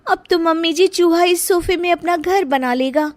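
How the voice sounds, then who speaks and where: A woman voicing a sassy, sweet-talking young daughter-in-law in an animated story, with exaggerated, expressive delivery. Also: very high-pitched, normal speed, no echo, clean studio sound, pitched above the performer's own voice. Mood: persuasive. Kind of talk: animated Hindi moral story acting.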